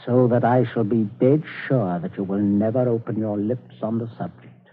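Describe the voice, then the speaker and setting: An actor playing a ruthless middle-aged father who calmly threatens a man at gunpoint, in 1940s radio drama style. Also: on the low side, moderate pace, a little hiss or hum, natural voice. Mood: menacing calm. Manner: calm, clipped, coldly threatening. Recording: tinny old radio broadcast.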